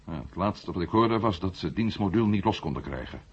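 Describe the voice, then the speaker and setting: An actor playing an indignant, insistent journalist with a husky voice in a 1970s radio play. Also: male voice, low-pitched, expressive, fairly quick. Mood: indignant, urgent. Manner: insistent, challenging. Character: indignant, sharp-minded male journalist challenging the military. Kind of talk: 1970s Dutch science fiction radio drama acting. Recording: dull vintage radio play recording.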